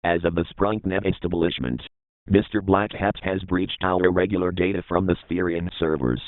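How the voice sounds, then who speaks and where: A tinny, phone-like recording of a man playing a stern emergency broadcast announcer. His voice is low, formal and measured, reading a scripted alert with no room sound. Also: no room sound, natural voice. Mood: stern warning. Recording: thin phone-like band-limited sound.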